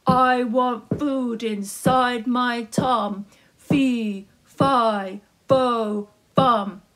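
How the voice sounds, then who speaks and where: Woman putting on a slow, booming hungry giant. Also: fairly even intonation, fairly bright tone. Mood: hungry, menacing. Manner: slow, drawn-out booming chant.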